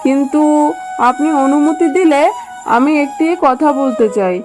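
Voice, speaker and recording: A high, meek mother jackal from a children's cartoon, voiced by a woman. She is gentle and deferential, a poor maid who pleads and soothes. Music plays clearly behind the voice. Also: measured pace. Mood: meek, pleading.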